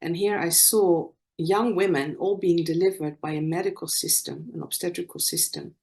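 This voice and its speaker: A woman in her sixties with a Dutch accent gives a talk at an online conference. She tells her story earnestly and often restarts a phrase. Her voice sits a little low and comes through a video call. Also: fairly even intonation, normal speed, vocal fry, a little mellow. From Netherlands. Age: 60s. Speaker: conference speaker, nurse.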